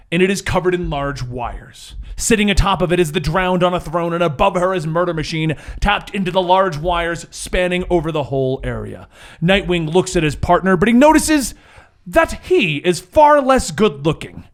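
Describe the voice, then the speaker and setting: A man's voice: sits high, animated, normal speed. A man running a tabletop game as game master, mixing dramatic narration with jokey, theatrical banter.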